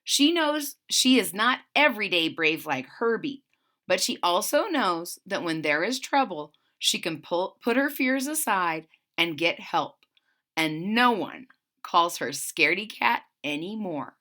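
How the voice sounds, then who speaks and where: A woman reading a children's story aloud in an expressive style, with simple retelling asides. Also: on the low side, unhurried.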